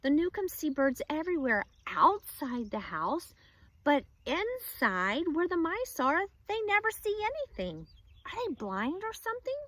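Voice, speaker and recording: A woman doing a high eager young boy. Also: unhurried, dry recording, heavily acted. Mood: exasperated. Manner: excited, rising questions.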